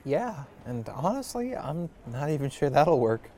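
An actor playing a glum, unsure young spellcaster in a fantasy audio drama, speaking haltingly. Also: medium pitch, sing-song, mellow, slight background noise. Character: hesitant, glum young apprentice spellcaster kid.

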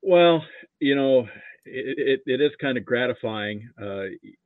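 A man chatting as a podcast guest in a modest, halting way, often repeating his words, on slightly dull audio. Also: medium pitch, expressive, no room sound. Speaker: podcast guest, amateur sports archivist. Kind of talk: casual interview chat.

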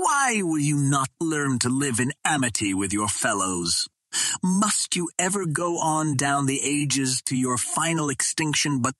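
A man's voice: fairly bright tone, husky, dry recording, clean studio sound, full character voice. A man voicing a proud captive princess from another planet in a storybook, speaking in formal, impassioned oratory. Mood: impassioned reproach. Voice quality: low, well-modulated.

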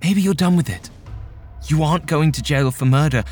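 A man's voice: normal speed, soft background music, lightly acted. A man voicing a pompous young male entrepreneur who pleads in a raised, strained voice. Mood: pleading. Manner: pleading, high-pitched.

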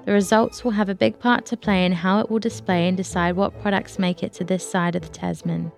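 A woman's voice: mid-range voice, steady tone, brisk, gravelly, faint music behind. A woman, a journalist speaking on a podcast about her own reporting. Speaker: journalist, interview guest. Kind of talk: conversational interview and reading aloud of an article.

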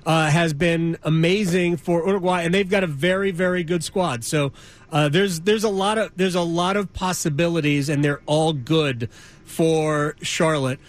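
Male host for casual sports interview show, high-pitched voice, halting delivery with repeated words. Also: fairly even intonation.

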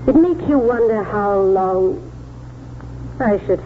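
Tinny old radio, hissy noise, anxious genteel vicar's wife, very expressive pitch, formal manner. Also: female voice, slow. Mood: subdued, worried. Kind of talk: 1940s radio drama acting. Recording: tinny, noisy 1940s radio broadcast.

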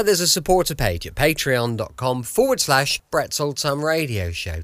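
A man's voice: a little high, a bit bright, no room sound, clean studio sound. A British man hosting a late-night podcast, chatting informally and in a rambling, cheery way as he introduces the show. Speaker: podcast host. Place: home, close dry recording.

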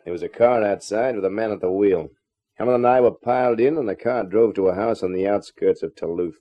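An actor playing a wisecracking, sardonic private detective with slangy, terse delivery in a 1950s radio crime drama. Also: male voice, fairly low pitch, brisk, lightly acted. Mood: terse narration. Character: wisecracking, hard-boiled male private detective. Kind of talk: 1950s radio detective acting with first-person narration. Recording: dull old radio recording.

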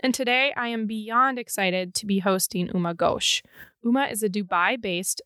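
A woman's voice: mid-range voice, fairly bright tone, no room sound, studio quality. A woman hosting a podcast, introducing her guest with upbeat enthusiasm. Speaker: podcast host.

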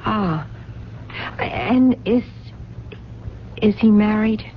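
An actress playing a lovelorn, stubborn, anxious woman in a 1970s radio drama, with halting phrases and repeated words. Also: mid-range voice, lively intonation. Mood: anxious, curious. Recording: dull, faintly noisy 1970s radio broadcast.

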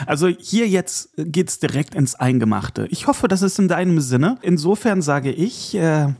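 A man's voice: on the high side, animated, a little slow, a little mellow. A man hosting a podcast, chatting informally through news and opinions and addressing the listener directly. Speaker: podcast host. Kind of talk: casual solo news and review commentary.

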